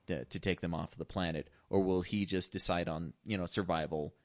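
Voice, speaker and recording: A man with a deep, low-set voice holds a casual film discussion as a co-host. He is effusive and sweary, like an avid fan. Faint music plays behind him. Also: steady tone, fairly quick. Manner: effusive, profane fan talk. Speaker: podcast co-host.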